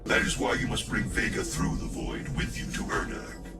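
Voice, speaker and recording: An actor playing a solemn, knowing guide in a video game, speaking in a formal, measured, grave manner. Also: male voice, close to an everyday voice. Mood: matter-of-fact, instructive. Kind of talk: scripted game cutscene narration. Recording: distant voice under loud music.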